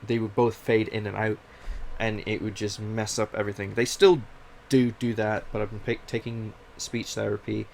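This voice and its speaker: Man, young adult, from Canada, British-Canadian accent mix, gravelly voice, casual monologue. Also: lowish voice, flat delivery, normal speed, hardly any pauses, darkish tone, slight background noise. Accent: British mixed with Canadian. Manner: candid, rambling, self-deprecating. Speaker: podcast host.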